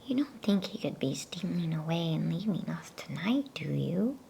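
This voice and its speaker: A woman voicing a concerned, gentle girl, an older sister, in a hushed, questioning whisper for a storybook. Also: on the low side, very animated, moderate pace, creaky, slight background noise, full character voice. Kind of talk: whispered ASMR storybook reading.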